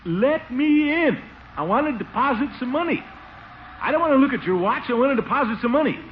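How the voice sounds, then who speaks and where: An actor playing a pompous, excitable middle-aged official who blusters and exclaims in 1940s radio comedy style. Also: male voice, very high-pitched, brisk. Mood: indignant. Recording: muffled, noisy 1940s radio recording.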